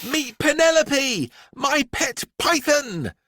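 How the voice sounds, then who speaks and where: A man's voice: very high voice, very animated, slow pace, pushed and bright, dry recording, clean studio sound, voice pushed up, heavily acted. A man voicing a proud, cheeky little schoolgirl in a storybook, with confident, playful delivery.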